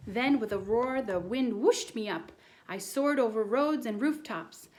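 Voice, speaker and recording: A woman voicing an earnest, excitable schoolboy who tells a tall storybook tale with dramatic insistence. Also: on the high side, measured pace, lightly acted. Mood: wondrous.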